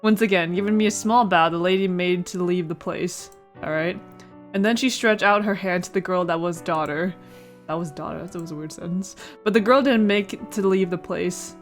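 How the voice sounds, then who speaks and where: A woman's voice: lowish voice, moderate pace. A woman hosting a casual let's play, reading a visual novel aloud with playful asides and stumbles over words. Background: music.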